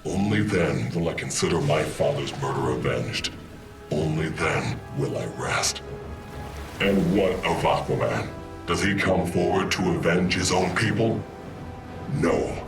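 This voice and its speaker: A man's voice: a bass voice, animated, a little slow, music in the background, exaggerated voice. A man playing a bitter, proud, menacing supervillain out for revenge in a video game monologue. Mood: scornful, menacing.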